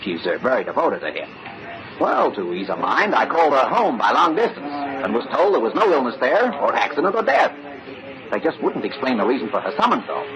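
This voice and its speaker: An actor playing an earnest, polite, easily flustered young fiancé in an old-time radio drama. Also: male voice, medium pitch, very animated, brisk, a crowd in the background. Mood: concerned, chatty. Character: earnest polite young fiancé off to meet future father-in-law. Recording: muffled, noisy old radio recording.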